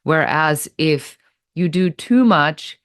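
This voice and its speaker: A woman from Germany with a German accent, answering interview questions in a deliberate, explanatory way. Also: deep voice, expressive, clear, resonant tone, no echo, clean studio sound. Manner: deliberate, explanatory, pace shifts between slow and quick. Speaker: business strategist guest.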